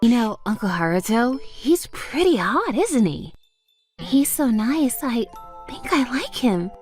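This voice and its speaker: Woman voicing a high-pitched, brash teenage rebel girl. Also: sing-song, slow, a little mellow, husky, exaggerated voice. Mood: smitten. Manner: mocking bravado slipping into bashful stammering. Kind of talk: anime-style romcom character acting. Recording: music under the voice. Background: background music.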